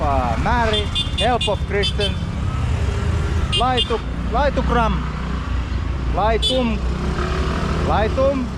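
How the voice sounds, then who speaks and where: Chatty Finnish man vlogging on a loud street. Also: sits high. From Finland. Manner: chatty, greets passersby, repeats phrases. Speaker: travel vlogger. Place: outdoors on city streets. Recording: noisy outdoor street recording. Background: loud traffic and street ambience.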